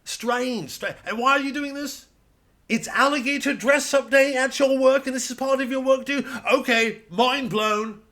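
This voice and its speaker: A man's voice: extremely high pitch, moderate pace, sharp tone, voice pushed up, heavily acted. A man playing an incredulous, prying stand-up comedian who stammers through baffled questions in a parody of crowd work. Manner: stammering, repetitive, exasperated questioning.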